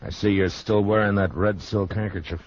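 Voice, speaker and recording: An actor playing a stern, laconic frontier marshal with quiet authority in a 1950s radio western. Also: male voice, on the low side, steady tone, moderate pace, natural voice. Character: stern, steady frontier marshal, adult man. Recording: muffled noisy 1950s radio recording.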